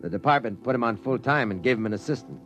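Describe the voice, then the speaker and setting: An actor playing a brisk, skeptical, inquisitive newspaper reporter in a 1950s radio drama, with a wry, probing delivery. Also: male voice, medium pitch, fairly bright tone, soft background music, close to an everyday voice. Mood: storytelling. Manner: wry, probing, punchy questions. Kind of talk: 1950s radio drama acting with first-person narration. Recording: vintage 1950s radio recording.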